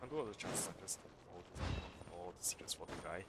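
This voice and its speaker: A man's voice: sits low, animated, fast talker, gravelly, heavy background noise. A man giving casual live commentary as he plays a game, muttering short reactions. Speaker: game streamer. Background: loud game music.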